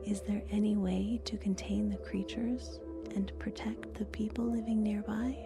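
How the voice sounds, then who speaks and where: A woman's voice: medium pitch, level tone, normal speed, creaky. A woman whispering a scripted ASMR episode introduction as an announcer. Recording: music bed under whisper. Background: music.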